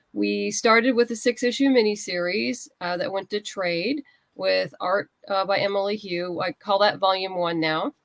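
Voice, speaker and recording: A woman talks as a guest writer in a casual interview. Her voice sits at a medium pitch, recorded dry with very little room sound. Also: vocal fry, no room sound.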